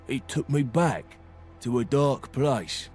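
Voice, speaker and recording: A man's voice: medium pitch, lively intonation, takes it slowly, darkish tone, soft background music. A man playing an insecure, earnest young brawler in a video game, speaking plainly and hesitantly, stumbling over his words. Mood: troubled, vulnerable. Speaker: video game companion character. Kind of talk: game voice acting, halting heartfelt dialogue.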